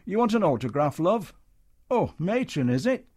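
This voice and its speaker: Male reader voicing a bullying showman, high and loud, hectoring. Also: very animated, normal speed, slightly rough, heavily acted. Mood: wheedling. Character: blustering London comedian, troupe leader, bullying then wheedling.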